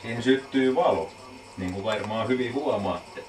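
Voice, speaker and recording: A man giving a casual, deadpan, step-by-step demonstration as an amateur video maker. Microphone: camcorder microphone. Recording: noisy low-quality old camcorder audio.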